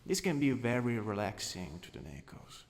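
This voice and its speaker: A man with an Italian accent playing a gently dominant, doting anatomy teacher in a scripted ASMR roleplay, coaxing and instructing. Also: mid-range voice, big pitch swings, normal speed, gravelly. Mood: lecturing, composed. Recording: long reverberant hall echo.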